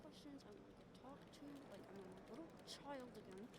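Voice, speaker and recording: A woman's voice: mid-range voice, a little fast, creaky, very noisy, lightly acted. A woman playing a brash, stubborn, ambitious young reporter in an improvised audio roleplay, with a sarcastic, cocky, conversational delivery. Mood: sulky, annoyed.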